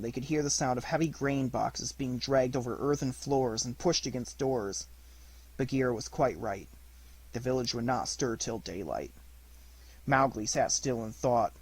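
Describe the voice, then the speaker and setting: A man's voice: mid-range voice, breathy edge, low-quality recording. A man reading a literary story aloud as an audiobook narrator, in a scripted narration style.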